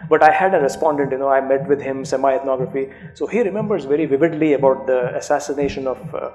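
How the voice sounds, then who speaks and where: A man's voice: mid-range voice, fast talker, low-quality recording. Indian man, thoughtful academic speech. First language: Urdu. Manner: thoughtful, many fillers and restarts. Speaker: author being interviewed. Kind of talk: academic conversation. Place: seminar room, indoors. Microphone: handheld microphone.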